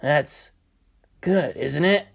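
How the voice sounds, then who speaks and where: A narrator voicing a laid-back, flirtatious male director in an easygoing, low-key way for an audiobook. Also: very slow, vocal fry, exaggerated voice. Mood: weary.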